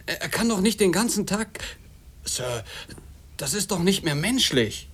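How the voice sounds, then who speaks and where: A man's voice: sits high, sing-song, unhurried, fairly bright tone, breathy edge, full character voice. A man acting an emotional, blunt and protective sailor in a radio drama. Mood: pleading concern. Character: hot-headed, loyal, protective sailor, male.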